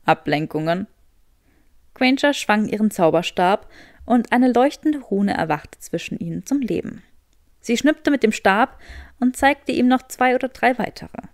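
A woman's voice: on the high side, lively intonation, measured pace, dry recording, clean studio sound. A woman reading a scripted story as an audiobook narrator.

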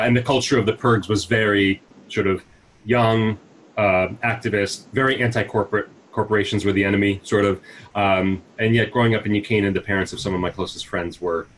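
A man's voice: low voice, little pitch movement, a little fast, slight background noise, rough recording. A man in his forties from the United States, a nonprofit CEO answering interview questions on a video call through earbuds, reflective and full of fillers. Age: 40s. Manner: thoughtful, many fillers and restarts. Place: small room at home, indoors. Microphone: wired earbuds on a video call.

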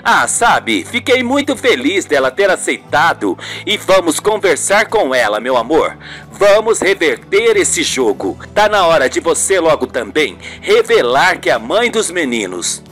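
A performer voicing a tender, devoted man in a radio soap opera, soft and affectionate with his beloved. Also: medium pitch, big pitch swings, measured pace, pitched below the performer's own voice. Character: Regis, tender devoted male lover, soothing. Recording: music behind the voice.

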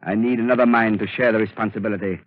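An actor playing a scheming male mastermind in a 1930s radio drama, speaking slowly and with menace. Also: fairly low pitch, steady tone, natural voice. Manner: slow, menacing, measured. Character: scheming male mastermind bent on ruling the world. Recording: muffled old radio broadcast.

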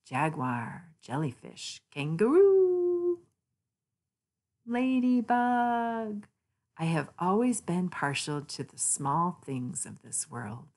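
A woman reading a children's picture book aloud, naming things deliberately with sing-song emphasis. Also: fairly low pitch, sing-song, darkish tone. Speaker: read-aloud channel host.

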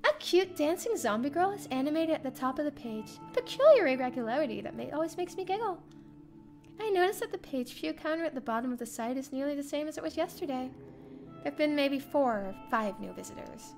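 High-pitched woman reading dramatically, very lively intonation. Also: a little fast. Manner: expressive, theatrical reading. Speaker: streamer reading a visual novel aloud. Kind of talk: dramatic first-person story narration. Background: game music.

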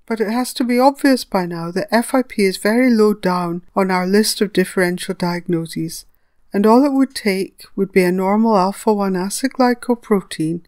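A woman reading a scripted educational narration as a veterinary lecturer, measured and didactic, explaining step by step. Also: mid-range voice, darkish tone, no echo, clean studio sound.

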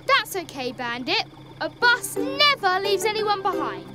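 An actor voicing an eager, brave little boy bus in a children's cartoon, cheerful and excitable. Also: very high voice, a little slow, exaggerated voice. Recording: loud music behind.

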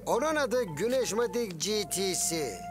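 A man's voice: high voice, animated, unhurried, over music. A man playing a proud, eccentric gadget-loving father with a pedantic manner in a dubbed children's cartoon. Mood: proud, insistent.

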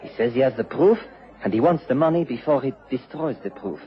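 Guy doing a worried foreign trader, formal and halting, muffled old radio play sound. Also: mid-range voice, expressive, lightly acted. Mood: troubled. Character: troubled, courteous foreign importer pleading his case. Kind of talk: radio drama acting. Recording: dull lo-fi radio broadcast.

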